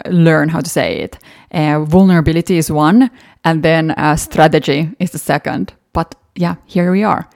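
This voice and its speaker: A woman's voice: low voice, vocal fry, a little mellow, no room sound, studio quality. A woman with a Finnish accent, a native Finnish speaker, hosting a solo podcast and talking through past episodes in an earnest, encouraging way. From Finland. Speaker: podcast host.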